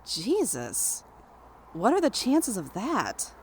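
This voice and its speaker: A woman's voice: mid-range voice, huge pitch swings, takes it slowly. Female best friend, played by an actress, young. Blunt, skeptical, caring, naturalistic drama dialogue with faint ambient noise. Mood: concerned. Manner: blunt, incredulous, conversational.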